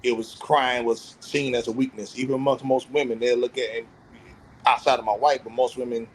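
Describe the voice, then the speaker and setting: A man's voice: medium pitch, level tone, fairly quick, slight background noise, rough recording. A man in his thirties speaking candidly and reflectively about himself in a casual podcast conversation. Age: 30s. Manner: earnest, self-reflective, opens up about feelings. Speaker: podcast panelist.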